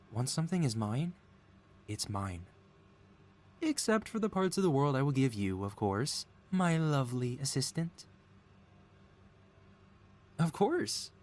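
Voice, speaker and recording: A man playing a possessive mad scientist supervillain boss in an ASMR audio roleplay, talking in a soothing, coaxing, flattering way as if innocent. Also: fairly high pitch, a little slow, pauses often. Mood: adoring, coaxing. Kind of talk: ASMR audio roleplay, intimate one-sided dialogue.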